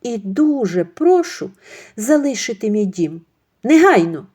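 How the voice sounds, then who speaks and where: A woman voicing an angry young wife who speaks firmly and in measured, clipped phrases. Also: on the high side, big pitch swings, dark tone, breathy edge, voice pushed up, exaggerated voice.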